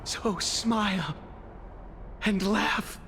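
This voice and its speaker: Theatrical vigilante captain, high-pitched voice, measured pace, zealous preaching tone, grandiose game acting. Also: male voice, fairly bright tone, a little hiss or hum, heavily acted. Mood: exalted. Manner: grandiose, declamatory.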